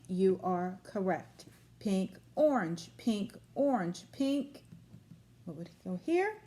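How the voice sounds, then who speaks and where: Slow-paced woman teaching young children. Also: fairly high pitch, darkish tone, slight background noise. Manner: instructional, repetitive, question-and-prompt delivery for young children. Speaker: early grade school teacher. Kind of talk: children's video lesson demonstration.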